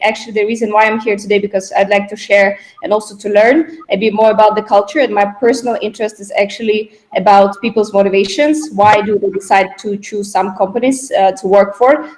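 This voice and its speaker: A woman's voice: medium pitch, darkish tone, poor audio. Thoughtful woman recruiter on a call. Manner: thoughtful, hesitant. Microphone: video call.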